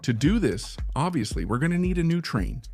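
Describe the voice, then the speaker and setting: A man, hoarse from being sick, giving casual gameplay commentary and thinking aloud as he plans and second-guesses his build. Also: on the high side, very animated, fairly quick, a little mellow. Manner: thinks aloud, unsure and musing. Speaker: gaming channel host.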